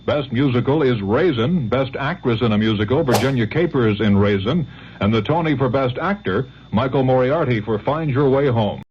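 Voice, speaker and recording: A man's voice: medium pitch, animated, normal speed. A man with an American accent reading a scripted 1970s network radio newscast as anchor. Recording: dull, noisy vintage radio broadcast.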